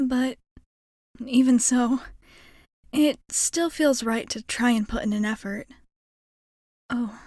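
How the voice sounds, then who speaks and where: A woman's voice: on the high side, a little mellow, no echo, clean studio sound. A woman playing a shy, nervously hopeful young alien space traveler in an ASMR roleplay, rambling hesitantly and putting herself down. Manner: hesitant, rambling, self-effacing. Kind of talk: ASMR roleplay, intimate character monologue.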